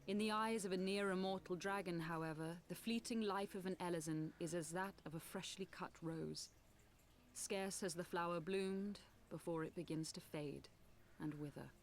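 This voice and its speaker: An actress playing a solemn noble rebel woman in a fantasy game, telling tragic history in formal, archaic language with measured delivery. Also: fairly low pitch, slight background noise, natural voice. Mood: solemn storytelling. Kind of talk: theatrical fantasy game acting.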